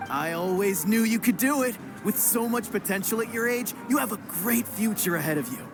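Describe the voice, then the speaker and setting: A woman's voice: mid-range voice, animated, a little fast, music in the background, lightly acted. A woman playing a sheepish, defensive young team member in an anime-style game. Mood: encouraging.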